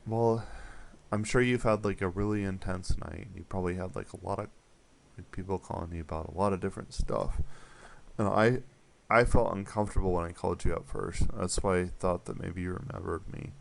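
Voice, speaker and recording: Low gravelly man rambling awkwardly. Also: fairly even intonation, a little fast. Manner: hesitant, rambling, awkward with many fillers. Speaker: prank caller. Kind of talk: deadpan prank phone call.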